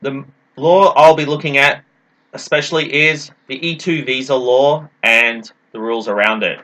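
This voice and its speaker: Man, mid-pitched, bright forceful voice, instructional presentation, emphatic teacherly delivery. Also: steady tone, moderate pace, rough recording. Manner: didactic, emphatic, poses questions and answers them. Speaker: English teacher presenting a talk. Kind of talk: explanatory presentation.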